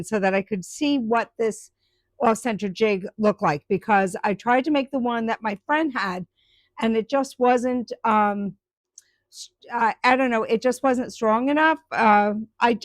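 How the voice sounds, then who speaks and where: A woman talks her viewers through her woodturning project in a slow, rambling live-stream chat full of ums and restarts. Her voice sits a little high, and the sound is dry and close with no room echo.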